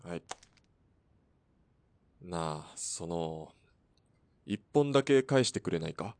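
A man acting a low-voiced, laconic sharpshooter. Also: animated, takes it slowly, darkish tone, no room sound, heavily acted. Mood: resigned. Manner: laid-back, terse, evasive. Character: laconic, brooding male sharpshooter, nonchalant smoker. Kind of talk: Japanese radio drama acting.

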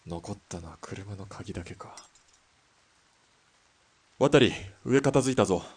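Man acting a very low, gruff young killer. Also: animated, slow, a little mellow, a little hiss or hum. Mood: cocky. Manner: blunt, curt, tough-talking. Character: gruff, cocky young Japanese army sniper turned killer. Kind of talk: Japanese audio drama acting.

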